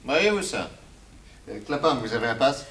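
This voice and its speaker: An actor playing a shrewd private detective, assured, ironic and commanding, in a 1960s French radio crime drama. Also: male voice, medium pitch, very animated, normal speed, a bit bright, a little hiss or hum. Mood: brisk, commanding.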